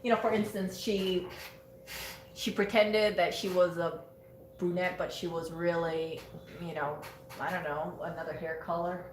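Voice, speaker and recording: A woman's voice: lowish voice, little pitch movement, measured pace, echoey room, slight background noise, poor audio. A woman co-hosting a live stream, chatting casually and teasing her co-host, laughing often.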